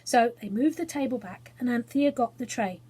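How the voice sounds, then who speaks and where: A woman with an English accent and a fairly high, breathy, husky voice. She talks to children in a chatty, simple way as a storyteller, moving between informal asides and reading from a storybook. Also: moderate pace, warm tone. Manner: chatty, self-deprecating, explains things simply for children. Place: small spare room study at home.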